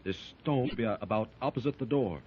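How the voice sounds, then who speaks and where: An actor playing a calm, commanding jungle hero in a 1930s radio serial, giving terse directions. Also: male voice, mid-range voice, brisk, slightly rough, natural voice. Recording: dull old radio recording.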